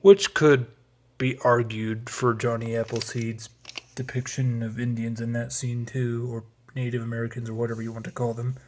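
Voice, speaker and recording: A man presents his collection in a loose, unscripted way. His pitch stays fairly flat and even. He rambles a little and drifts into hesitant asides, like someone talking casually through items one by one. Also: normal speed, a little hiss or hum. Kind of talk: casual unscripted show-and-tell.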